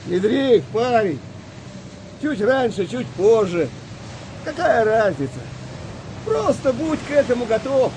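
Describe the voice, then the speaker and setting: High-pitched, seasoned wartime sailor, noisy background. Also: male voice, big pitch swings, slow, pauses often, darkish tone, exaggerated voice. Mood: wry, gruff. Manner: colloquial, rough-spoken, reflective. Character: seasoned, philosophical British sailor on a wartime Arctic convoy. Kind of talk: audio play acting.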